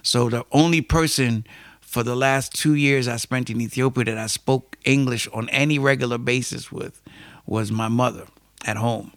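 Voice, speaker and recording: A man's voice: medium pitch, steady tone, normal speed, a bit bright, dry recording, clean studio sound. A middle-aged American man with a New York accent, telling his life story as an interview guest, thoughtful and full of fillers. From United States. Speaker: guest poet and musician.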